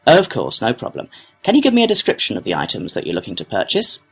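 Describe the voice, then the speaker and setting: A man's voice: fairly low pitch, huge pitch swings, quick pace, vocal fry, husky, exaggerated voice. A man playing a courteous complaints clerk, reading scripted customer-service dialogue in a helpful, procedural way. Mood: courteous, businesslike. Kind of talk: scripted listening-test dialogue.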